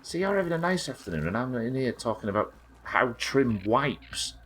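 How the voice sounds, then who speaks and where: A British man with a naturally croaky voice, chatting casually and swearing while streaming a game. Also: medium pitch, sing-song, normal speed, a bit bright. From United Kingdom. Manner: chatty, swears, reads chat aloud. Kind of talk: casual gameplay chat.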